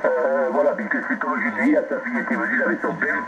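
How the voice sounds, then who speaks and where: A man's voice: a little high, very animated, fast talker, vocal fry. Man on a noisy lo-fi radio link. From France. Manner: rambling with many fillers and restarts. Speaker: radio amateur heard over the air. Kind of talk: casual radio contact chat. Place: home radio station. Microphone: received over a radio transceiver. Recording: lo-fi radio reception with loud noise.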